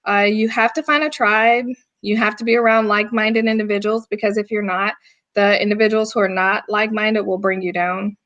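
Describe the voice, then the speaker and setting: A woman in her thirties from North Carolina with a Southern accent, answering interview questions in a laid-back, unhurried way as a guest firearms instructor. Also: medium pitch, steady tone, a bit bright, muffled recording. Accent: Southern American.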